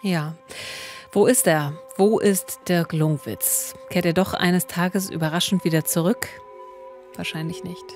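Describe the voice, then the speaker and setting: Low-voiced German woman hosting a podcast. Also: steady tone, measured pace, darkish tone. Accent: Standard German. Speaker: podcast co-host. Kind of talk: true-crime podcast hosting. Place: small indoor recording studio. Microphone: close studio microphone on boom arm. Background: music.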